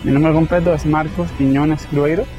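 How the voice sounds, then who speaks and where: Higher-voiced Cuban man, faint music. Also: fairly even intonation, moderate pace, lo-fi sound. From Cuba. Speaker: graduate student. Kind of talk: interview testimonial.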